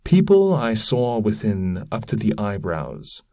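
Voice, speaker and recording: A man reading narrative verse aloud in a measured, solemn manner, as a scripted dramatic recitation. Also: on the low side, big pitch swings, dark tone. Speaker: reader.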